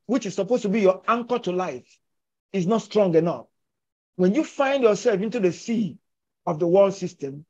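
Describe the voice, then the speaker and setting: A man's voice: high voice, a little mellow. An older man telling a story emphatically and persuasively over a video call. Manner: emphatic, persuasive, gesturing with his hands. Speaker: filmmaker and actor discussing his film. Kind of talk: conversational storytelling. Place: indoors at home, virtual backdrop. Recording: muffled video call audio.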